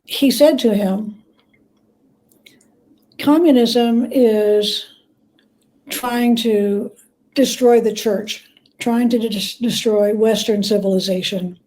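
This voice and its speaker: A woman being interviewed as a biographer, telling historical anecdotes conversationally and pausing to gather her thoughts. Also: on the high side, warm tone, low-quality recording. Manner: measured, pauses to gather thoughts. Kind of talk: conversational storytelling.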